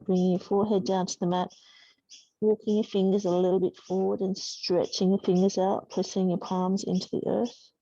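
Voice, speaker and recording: A woman teaching a yoga class, giving soothing, unhurried guidance with pauses between instructions. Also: mid-range voice, little pitch movement, mellow, no room sound. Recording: dull, muffled lo-fi sound.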